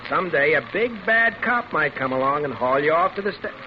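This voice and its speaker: A man's voice: a little high, lively intonation, fairly quick, natural voice. An actor playing a cheerful, teasing young motorcycle policeman in an old radio drama, with a jaunty, breezy manner. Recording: muffled, noisy old radio recording.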